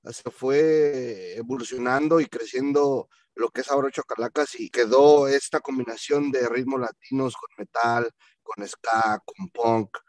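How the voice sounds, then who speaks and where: A man from Mexico, a band vocalist telling stories in a relaxed, slangy way on a video call, slipping into English now and then. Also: mid-range voice, a little slow, no room sound. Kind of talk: casual storytelling in an interview.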